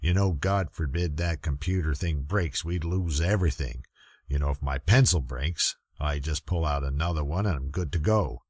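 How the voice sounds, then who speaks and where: A man playing a weary, gruff, middle-aged police lieutenant, narrating a radio murder mystery with wry, folksy grumbling in a gravelly voice. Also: a bass voice, normal speed, a little mellow, dry recording, studio quality. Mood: grumbling, probing. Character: gruff, weary old-school male police lieutenant narrating hardboiled detective story.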